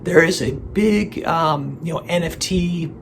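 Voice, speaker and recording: Hoarse man, gravelly strained voice, slightly higher pitch, rambling vlog talk, faint background noise. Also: unhurried. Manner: rambling, hesitant, many fillers and restarts. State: hoarse from laryngitis. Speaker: collectibles channel host. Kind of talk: casual solo video commentary.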